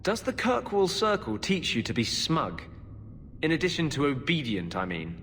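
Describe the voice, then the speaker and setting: A man's voice: on the high side, sing-song, normal speed, fairly bright tone, slight background noise. A man playing a sardonic, needling adventuring companion in a video game. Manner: dry, needling. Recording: echoing hall, music behind.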